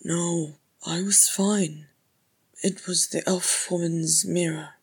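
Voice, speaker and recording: A woman narrator voicing a weary, stubborn male dwarf king, sullen and croaky, in a storybook. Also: fairly high pitch, steady tone, takes it slowly, exaggerated voice. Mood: weary mumble. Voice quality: croaky, mumbling.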